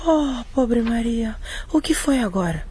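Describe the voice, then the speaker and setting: A woman's voice: a little high, lively intonation, unhurried, warm tone, slight background noise. A woman playing a bold, curious schoolgirl confidante in a radio drama, teasing and gossipy. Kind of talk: scripted radio drama acting.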